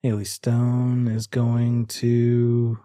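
A man narrating a tabletop roleplaying game as game master, pausing mid-sentence as he improvises. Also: medium pitch, completely flat delivery, mellow, no room sound, clean studio sound.

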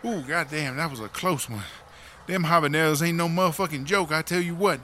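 Brash, high-pitched streetwise woman inmate. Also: a little fast, sharp tone, slight background noise. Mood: muttering, relieved. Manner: slangy, melodramatic, grumbling. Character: Chartreuse, brash streetwise female prison inmate, foul-mouthed, dramatic. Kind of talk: comedy audio drama acting.

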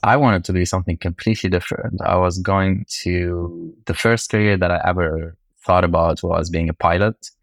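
A man from Lebanon with a deep voice talks as a podcast guest. His delivery is casual and conversational, recorded dry without room sound. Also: steady tone, normal speed, hardly any pauses, no room sound.

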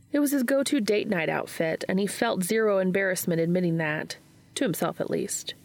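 A woman reading fan fiction aloud as an expressive scripted story narration. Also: lowish voice.

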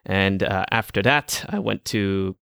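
A young Malaysian man whose first language is Iban, speaking in a relaxed, measured way as a podcast guest. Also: fairly low pitch, vocal fry, clean tone, no room sound. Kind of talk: casual interview.

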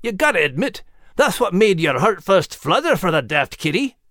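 A man's voice: high-pitched, sing-song, moderate pace, pushed and bright, dry recording, clean studio sound, pitched above the performer's own voice, exaggerated voice. A man voicing an easygoing, reassuring dog with a Scottish accent in a children's story.

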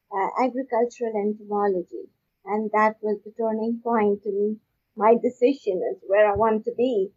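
A woman from Pakistan with a husky voice, answering interview questions conversationally in a halting way, repeating words as she goes. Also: medium pitch, a little mellow. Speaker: guest, agricultural research scientist. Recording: dull, lo-fi audio.

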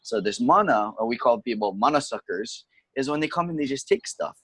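A man's voice: medium pitch, expressive, brisk, a bit bright, slightly rough, no echo. A man from Hawaii, a touring musician, talking casually and patiently explaining words. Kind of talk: casual conversation. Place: home, during lockdown.

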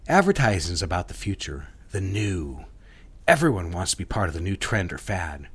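An actor playing a smooth, commanding married advertising executive of the 1960s in a romantic audio drama, speaking in an intimate, instructive way. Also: male voice, low-pitched, very animated, normal speed, fairly bright tone, heavily acted. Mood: businesslike, instructive.

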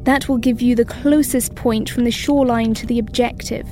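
An actress playing a precise, caring scientist astronaut, talking in a sci-fi audio drama. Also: female voice, fairly high pitch, steady tone, moderate pace, over music, lightly acted. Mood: calm, caring.

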